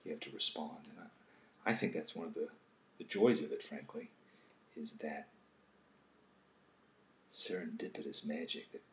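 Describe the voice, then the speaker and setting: A man in his sixties hosting a casual live drawing session, speaking haltingly and thoughtfully and often trailing off into a murmur. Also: a bass voice. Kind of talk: unscripted casual talk while drawing. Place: home kitchen, indoors. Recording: roomy echo, faint background noise.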